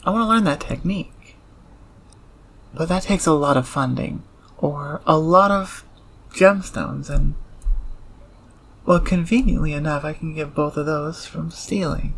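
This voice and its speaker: An actor as a gravelly, awkward engineer thief. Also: mid-range voice, measured pace, pauses often, a bit bright, low-quality recording. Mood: fascinated. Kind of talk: audio roleplay acting. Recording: clear background ambience noise.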